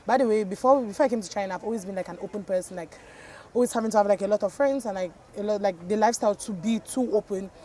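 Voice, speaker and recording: A woman's voice: mid-range voice, very fast, warm tone, a little hiss or hum. A young woman from Cameroon giving a casual interview answer, chatty and peppering her speech with "like". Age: young adult. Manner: chatty, heavy use of "like". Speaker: interviewee, foreign resident.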